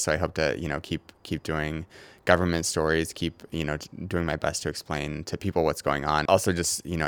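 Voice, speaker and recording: Male editor, very low voice with a smooth, clear tone, easygoing and halting. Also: no room sound. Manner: relaxed, halting with many fillers and restarts. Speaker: newspaper editor. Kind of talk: casual interview.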